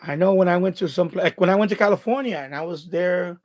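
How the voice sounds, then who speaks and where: A man's voice: high voice, quick pace, a little mellow. A man with a Bronx New York accent, hosting a live stream and chatting casually while he reads viewer comments aloud, rambling and restarting his words. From born in Puerto Rico, raised in the Bronx.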